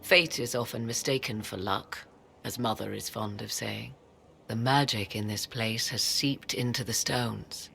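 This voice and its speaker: An aloof, proud sorceress of a fantasy game. Her voice has a gravelly creak and she speaks in measured, old-fashioned formal sentences. Faint ambience sits behind her cryptic words. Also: a bass voice, fairly bright tone, slight background noise, heavily acted. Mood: solemn. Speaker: sorceress advisor. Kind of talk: dramatic video game acting.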